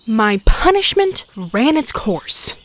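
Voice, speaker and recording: Actress as haughty queen, high swooping voice, bossy, alarmist, comic audio drama. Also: big pitch swings, takes it slowly, exaggerated voice. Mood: haughty, exasperated. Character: bossy, exasperated queen taking charge. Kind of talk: stage-style comic audio drama acting.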